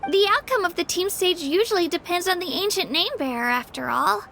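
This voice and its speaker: A woman voicing a sweet, timid young girl adventurer in an anime game, with a soft girlish voice. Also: extremely high pitch, lively intonation, a little fast, creaky, slight background noise, heavily acted. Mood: downcast. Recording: music behind.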